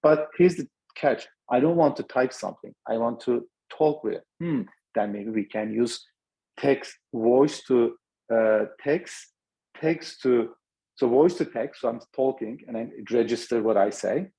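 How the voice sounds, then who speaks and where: A man's voice: medium pitch, measured pace, dark tone, slightly rough, poor audio. A middle-aged man with a Turkish accent giving a webinar talk, thinking aloud with many restarts. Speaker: startup founder presenting.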